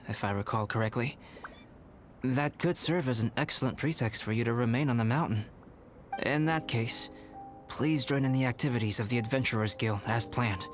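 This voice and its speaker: A man voicing a calm, reserved young alchemist in a video game, speaking in a measured, formal, contemplative way. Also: mid-range voice, close to an everyday voice. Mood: composed, courteous. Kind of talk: scripted video game character acting. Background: music.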